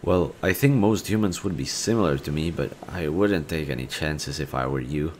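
A man playing a kind, bashful young man in an audio roleplay, talking to the listener in a casual, gentle and hesitant way. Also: a bass voice, normal speed, slight background noise. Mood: earnest, friendly.

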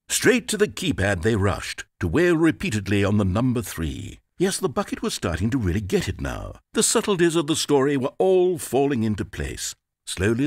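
A grandly theatrical, sarcastic narrator for a video game. His husky voice swoops up and down with huge expressive range. It is recorded dry and close, with no room sound. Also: mid-range voice, no room sound. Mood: mock grandeur. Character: pompous, theatrical male narrator, middle-aged. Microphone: close studio mic.

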